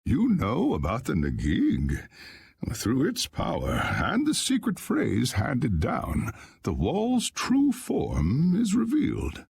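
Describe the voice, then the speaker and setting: A man playing a mild, wise old village elder in a video game, speaking formally and courteously with a gravelly, husky voice over music. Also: medium pitch, wildly swooping pitch, takes it slowly, darkish tone, heavily acted. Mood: solemn. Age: older.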